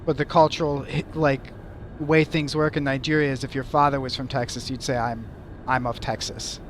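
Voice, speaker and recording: A man's voice: medium pitch, flat delivery, normal speed, fairly bright tone, slight background noise. A young American man hosting a casual podcast chat, curious and thinking aloud with lots of "like". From United States. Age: young adult. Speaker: host.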